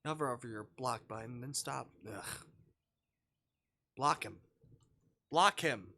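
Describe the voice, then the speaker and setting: A man livestreaming a visual novel, switching between jokey chat and reading the text aloud in a playful, expressive way. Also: medium pitch, slow, creaky. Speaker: live streamer playing a visual novel.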